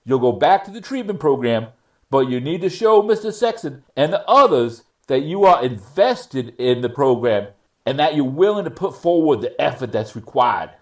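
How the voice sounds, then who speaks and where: A man playing a stern but fair judge in a readers theater courtroom, speaking formally and admonishing in a measured way. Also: medium pitch, sing-song, close to an everyday voice.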